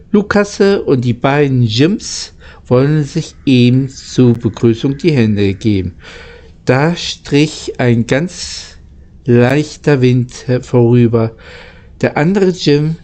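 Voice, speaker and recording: Slow-reading man with a mellow voice. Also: medium pitch. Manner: halting, stumbling over words. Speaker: amateur audiobook reader. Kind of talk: reading a children's story aloud.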